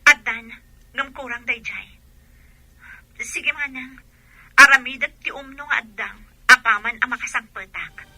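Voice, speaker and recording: A commanding mistress in a radio drama. Her voice is piercingly bright and somewhat high, and she gives orders briskly. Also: dry recording, heavily acted, poor audio. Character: bossy mistress of a mansion instructing her maid. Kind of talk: Ilocano radio drama acting.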